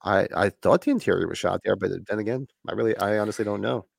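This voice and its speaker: A man co-hosting a podcast in casual chat, gravelly and smoky from a recent flu, laid-back and swearing casually. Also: on the low side, quick pace, no echo, studio quality. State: getting over a flu. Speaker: podcast co-host.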